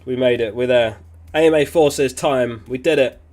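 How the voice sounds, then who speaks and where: A man hosting a casual livestream, reading viewer messages aloud in a relaxed, chatty way. He is sniffly and keeps clearing his throat. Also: mid-range voice, normal speed. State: sniffly, getting over an illness. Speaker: fantasy football livestream host.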